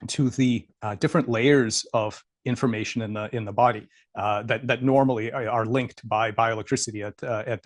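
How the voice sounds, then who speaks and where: A man giving a scientific lecture over slides, halting with many fillers and repeats, and losing his voice. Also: medium pitch, no room sound, clean studio sound. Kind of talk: academic talk over slides.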